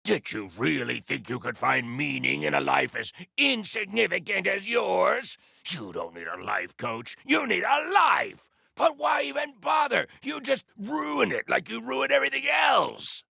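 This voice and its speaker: Raspy, high-pitched, scornful male taunter. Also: very animated, measured pace, few pauses, no room sound, clean studio sound, heavily acted. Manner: sneering, forceful. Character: scornful, cruel taunter belittling his victim. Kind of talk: scripted video game character lines.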